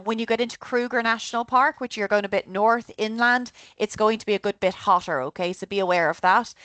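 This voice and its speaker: A woman's voice: mid-range voice, fairly bright tone, no room sound. Chatty Irish woman briefing travelers. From Ireland. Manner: chatty and upbeat, often checks in with "okay?". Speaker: travel company presenter. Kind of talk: online group trip briefing.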